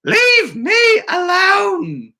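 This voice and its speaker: A man voicing a grumpy old grandmother in a storybook, shouting in drawn-out exasperation. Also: very high voice, wildly swooping pitch, gravelly, pitched above the performer's own voice, exaggerated voice. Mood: exasperated.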